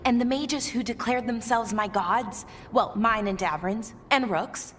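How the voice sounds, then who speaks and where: A woman's voice: a little high, measured pace, fairly bright tone, big-room sound, slight background noise. A woman playing an earnest, bookish young elven scholar in a fantasy video game. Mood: dismayed.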